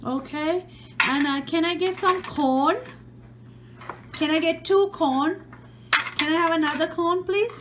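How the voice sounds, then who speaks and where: A woman behind the camera in a home living room, gently and patiently playing pretend shop with a toddler. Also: extremely high pitch, a little slow, long pauses, noisy background. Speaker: parent hosting a kids' channel. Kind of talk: playful pretend-play with a toddler.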